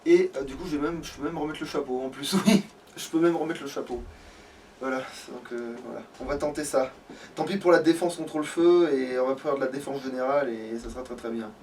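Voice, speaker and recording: A man giving casual gaming commentary as a let's play host, swearing freely and hesitantly thinking out loud. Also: medium pitch, steady tone, fairly quick, recorded from a distance, slight background noise.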